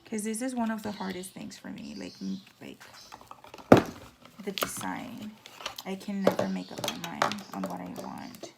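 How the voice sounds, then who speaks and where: A woman with a hoarse, raspy voice giving a casual at-home nail how-to, chatty with lots of fillers. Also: mid-range voice, huge pitch swings, a little slow, very noisy. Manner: chatty, filler-heavy, drops into whispers. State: hoarse from talking. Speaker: nail tutorial vlogger.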